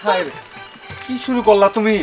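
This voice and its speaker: A man playing a put-upon husband who argues back and then pleads. He talks fast over clear background music. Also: high voice. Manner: protesting, pleading. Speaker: husband in a comic short drama. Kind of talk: comic village drama acting.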